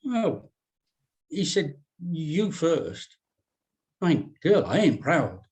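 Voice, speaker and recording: A man's voice: a little high, very animated, very slow, a little mellow, full character voice. A man playing a slangy, streetwise young woman who coaxes and pleads with a friend in a screenplay read. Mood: insistent, coaxing. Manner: slangy, coaxing.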